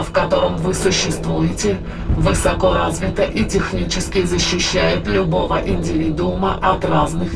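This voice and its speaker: A performer in an audio play voicing a sinister ancient demonic spirit that speaks in solemn, declamatory, archaic phrases with a gravelly, creaky voice. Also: medium pitch. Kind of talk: dramatized audio play, formal archaic monologue. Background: dramatic background music.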